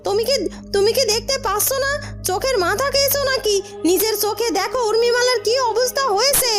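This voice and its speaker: A performer voicing a frantic, sharp-tongued young maidservant in a cartoon, exclaiming, scolding and pleading. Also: very high voice, fast talker, over loud music. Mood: indignant, distressed. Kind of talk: cartoon dialogue.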